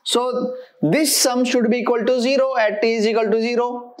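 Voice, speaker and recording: A woman giving a step-by-step technical lecture as an engineering teacher, methodical and halting as she works through equations. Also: on the high side, fairly even intonation, a little mellow.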